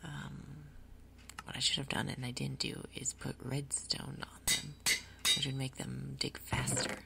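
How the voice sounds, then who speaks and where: A woman speaks in a hushed, breathy whisper with a low, creaky edge. She gently talks viewers through a game as an ASMR host, taking her time. Some background noise sits behind her voice. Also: level tone. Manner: gentle, unhurried, explanatory. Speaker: gaming video host.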